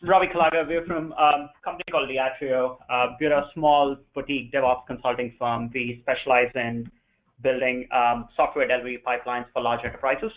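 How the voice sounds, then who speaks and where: A man gives an informal presentation as a guest speaker. He talks at a middle pitch and builds his points through emphatic, repeated phrases. The recording is tinny and narrow, like a phone line. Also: steady tone, fairly quick. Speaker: guest speaker, DevOps consultant.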